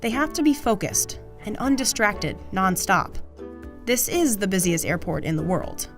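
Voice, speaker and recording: A woman's voice: mid-range voice, lively intonation, normal speed, faint music behind. A woman reading scripted documentary narration.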